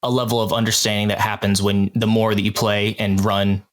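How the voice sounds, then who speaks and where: A man's voice: lowish voice, little pitch movement, a little fast. A man on a podcast panel explaining his views in a thoughtful, casual way, like an experienced game master. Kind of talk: casual discussion.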